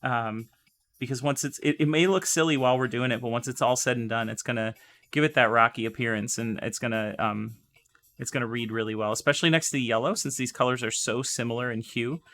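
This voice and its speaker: A young man with a hoarse, fading voice, chatting with viewers as he talks through painting miniatures live on stream. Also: medium pitch, steady tone, a little fast, fairly bright tone. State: hoarse, losing his voice.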